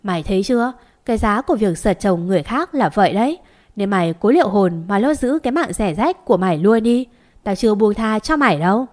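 A woman's voice: medium pitch, expressive, brisk, a little mellow, natural voice. A woman voicing a jealous, spiteful woman who hisses threats. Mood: menacing. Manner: threatening, contemptuous.

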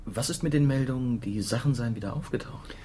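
An actor playing a young, unsure newspaper reporter who narrates and talks in a radio drama. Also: male voice, mid-range voice, fairly quick, mellow, slight background noise, close to an everyday voice. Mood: tentative, probing. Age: young adult. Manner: hesitant in dialogue, sober in narration.

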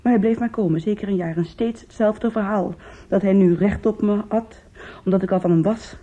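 An actress playing an anguished young woman in a Dutch radio play, confiding in her lover with halting, intimate emotion. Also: medium pitch, dark tone, lightly acted. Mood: confiding, bitter. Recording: dull, muffled old radio recording.

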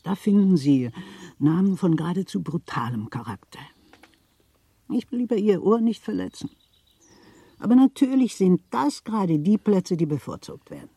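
An actress playing a worldly, gossipy middle-aged widow of a Berlin official in a German radio play, theatrical, insinuating and chatty. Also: female voice, fairly low pitch, big pitch swings, moderate pace, dark tone, husky, no room sound, heavily acted. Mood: indignant, relishing. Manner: theatrical, insinuating, chatty, repeats words for emphasis.

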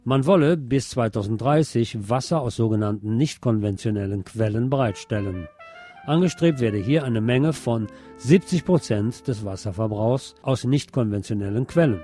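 A man presenting radio news, reading from a script in a measured bulletin style. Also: mid-range voice, warm tone.

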